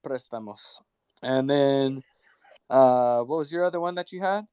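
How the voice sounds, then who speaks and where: A man's voice: medium pitch, a little slow, warm tone, clear, resonant tone, no echo. A man hosting a casual online audio chat, talking in a loose, rambling way with lots of fillers. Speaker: host. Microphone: remote call audio. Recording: dull lo-fi online call audio.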